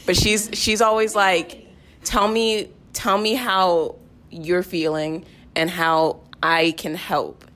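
Earnest young woman with a lowish voice. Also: little pitch movement, slow pace, a bit bright. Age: teens to young adult. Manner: earnest, candid. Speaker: podcast guest, cast member of a play. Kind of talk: casual, personal group discussion.

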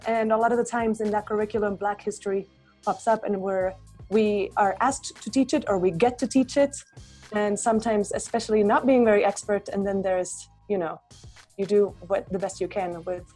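A woman's voice: mid-range voice, steady tone, fairly quick, dark tone, faint music behind. A woman who grew up in Finland and Ohio, speaking as an English teacher on a podcast, modest and careful, trailing off at times. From Finland, raised partly in Ohio.

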